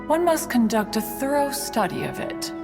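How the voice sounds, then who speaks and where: A stately ancient crane adeptus. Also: female voice, high-pitched, lively intonation, normal speed, big-room sound, over music. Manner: archaic formal speech, speaks of herself as "one". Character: dignified ancient female crane adeptus, formal inventor. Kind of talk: game voice acting.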